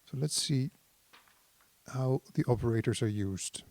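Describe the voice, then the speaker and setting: A man giving a casual hands-on tutorial as a synthesizer demonstrator, thinking aloud in an unhurried, explanatory way. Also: medium pitch, a little mellow.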